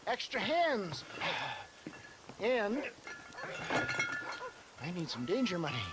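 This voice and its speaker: A man playing a flamboyant older snake-oil salesman in a video game, genially scheming, with grandiloquent, drawn-out phrasing, throat-clearing and chuckles. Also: sits high, sing-song, heavy background noise, full character voice. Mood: grandly scheming. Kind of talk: theatrical video game acting.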